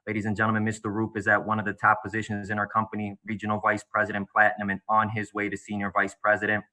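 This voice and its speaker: Flat, formal man giving a prepared talk. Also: lowish voice, fast talker, rough recording. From Bulgaria. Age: 30s. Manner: formal, steady, rehearsed delivery. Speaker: real estate agent and guest speaker. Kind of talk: prepared speech on a video call.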